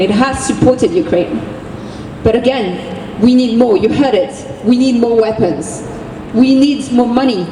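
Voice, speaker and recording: A woman with a French accent giving an impassioned speech as an activist at an outdoor street rally, driving her points home with repeated phrases. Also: a little high. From France. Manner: forceful, repetitive rallying cadence. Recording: distant, echoey street recording with noise.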